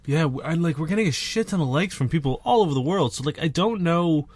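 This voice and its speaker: A man's voice: a little high, animated, quick pace, darkish tone, no room sound. A man co-hosting a casual podcast, groggy on cold medicine and tossing out scattered quips. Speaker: podcast co-host. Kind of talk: casual banter.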